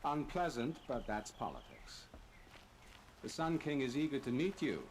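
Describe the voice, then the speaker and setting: A man's voice: mid-range voice, very animated, measured pace, faint music behind. An actor playing a polite, formal male royal adviser in a game. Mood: courteous. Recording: game audio under a stream, with background noise.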